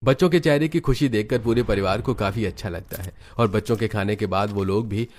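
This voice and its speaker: A man's voice: lowish voice, brisk, a little mellow. A man reading scripted narration for a cartoon family story.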